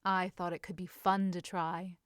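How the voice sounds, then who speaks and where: Woman acting a bright, scheming young society lady. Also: on the low side, little pitch movement, a little slow, clean tone, no room sound, clean studio sound. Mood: eager, coaxing. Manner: eager, formal period phrasing. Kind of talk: audiobook-style character reading.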